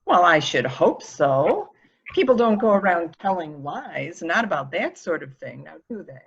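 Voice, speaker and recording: A woman's voice: fairly low pitch, very animated, measured pace, vocal fry, lo-fi sound, a little hiss or hum, full character voice. A woman playing an evasive, protective woman in a murder mystery reading, defensive and primly indignant. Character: evasive, protective woman guarding an excitable boy.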